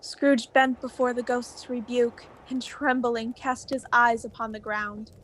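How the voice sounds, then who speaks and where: Higher-voiced young female narrator. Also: fairly even intonation, measured pace, a bit bright, slight background noise, poor audio. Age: teenager. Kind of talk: scripted radio play narration.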